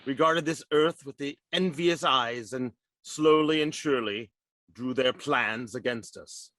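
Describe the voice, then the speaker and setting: A man playing a grave, ominous narrator in 1930s-style radio drama, slow, solemn and theatrical. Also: mid-range voice, fairly bright tone, lightly acted.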